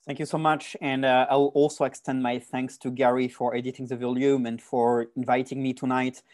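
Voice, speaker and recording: A man with a French accent, speaking as a panelist over a video call and laying out his points one by one in a measured way. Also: mid-range voice, level tone, a little mellow, no room sound, studio quality. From France. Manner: methodical, lays points out one by one. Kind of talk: online policy presentation.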